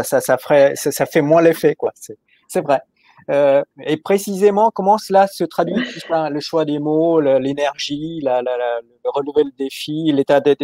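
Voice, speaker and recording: A man hosts a web panel in a slightly high voice, teasing the guests and tripping over his words as he goes. The sound is dry and close, with no room to it. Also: mellow, no echo. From Paris. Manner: joking, halting with many repeated words. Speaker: webinar moderator. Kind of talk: casual panel discussion.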